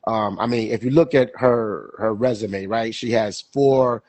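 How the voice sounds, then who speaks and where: Man, young adult, podcast host, stuffy with a cold, husky, rambling, dry close sound. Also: lowish voice, a little slow, a little mellow, dry recording. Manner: rambling, repeats himself, many fillers. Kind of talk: casual sports talk.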